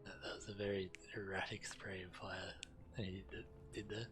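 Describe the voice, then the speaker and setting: A man with a very low voice reads quest text aloud as a game streamer. Game music plays clearly behind him. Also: little pitch movement, normal speed.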